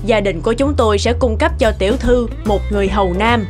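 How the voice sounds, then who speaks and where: An actress voicing a composed middle-aged noble matriarch in a cartoon, speaking in a polite, measured, formal way. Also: female voice, mid-range voice, music in the background, natural voice. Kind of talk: Vietnamese cartoon dubbing.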